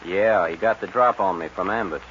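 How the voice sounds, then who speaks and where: An actor playing a bold, sly, jealous cowboy stage guard in a 1940s western radio drama. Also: male voice, low voice, moderate pace, fairly bright tone. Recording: muffled noisy 1940s radio recording.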